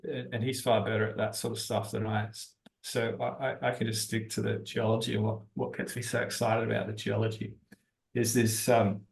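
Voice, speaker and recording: A man giving unscripted explanations as an interview guest, talking haltingly as he thinks aloud. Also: on the low side, little pitch movement, gravelly, low-quality recording. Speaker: interview guest, geology-minded stock investor.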